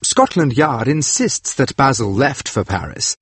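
A man's voice: sing-song, measured pace, slightly rough, dry recording. A worldly, mocking nobleman, as a man puts him on for an audiobook, his voice lifted well above a plain man's register and swooping freely. There is a faint husk to it, and the sound is close and dry. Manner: airy, mocking, pitch swooping widely. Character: witty, languid aristocratic man, amused and teasing. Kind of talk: audiobook character voice.

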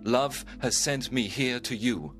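Romeo, a man playing a soft-spoken young lover. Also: medium pitch, slow pace, music in the background, close to an everyday voice. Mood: tender. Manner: soft and hushed, tender.

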